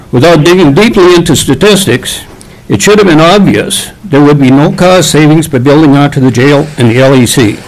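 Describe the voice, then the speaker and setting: A man delivering a prepared public comment in a measured, formal manner. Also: a little high, rough recording. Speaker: public commenter at a county meeting.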